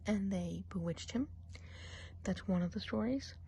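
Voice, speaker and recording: A woman's voice: mid-range voice, measured pace, gravelly, slight background noise. A woman playing a gossipy female forest witch in an ASMR roleplay, whispering and murmuring as she chats on. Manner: gossipy, mixes soft speech and whispers.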